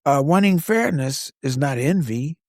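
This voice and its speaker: A man's voice: a little high, animated, a little slow, no echo, clean studio sound. A man co-hosting a podcast, giving scripted, conversational commentary in short, wry statements. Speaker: podcast co-host.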